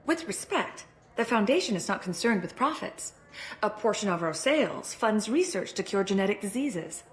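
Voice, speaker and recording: An actress playing a polite, eager sales clerk in a science fiction video game. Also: female voice, medium pitch, animated, normal speed, natural voice. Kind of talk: video game character acting.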